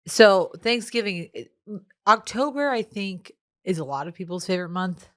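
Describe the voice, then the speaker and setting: A woman co-hosting a podcast in casual chat, with a somewhat deep, nasal voice and a dry, matter-of-fact manner. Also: expressive, normal speed, a bit bright, no echo. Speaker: podcast co-host.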